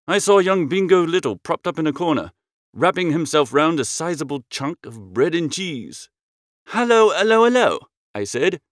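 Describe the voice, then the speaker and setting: A man narrating a comic first-person story in an expressive, breezy and theatrical way. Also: on the high side, no room sound, clean studio sound. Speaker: audiobook narrator.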